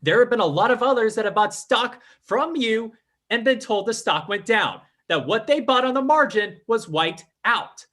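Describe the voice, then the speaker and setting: An actor playing a scheming, defiant fake psychic in a live old-time radio drama, with a theatrical, formal delivery. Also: male voice, sits high, expressive, normal speed, a bit bright. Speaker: stage actor in radio play.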